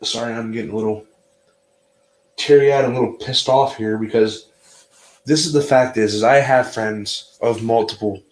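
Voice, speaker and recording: A man's voice: medium pitch, steady tone, normal speed, echoey room, poor audio. A man hosting a casual, heated livestream chat, earnest and often stumbling over and repeating his words.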